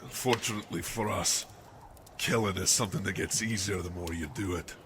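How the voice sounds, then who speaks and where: A man playing a haunted, fatherly older army captain in a dramatic radio play, speaking gravely and deliberately over noisy ambience. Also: low voice, a bit bright. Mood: haunted, weary. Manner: grave, deliberate storytelling, calls listener "laddie". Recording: noisy amateur audio drama with outdoor ambience.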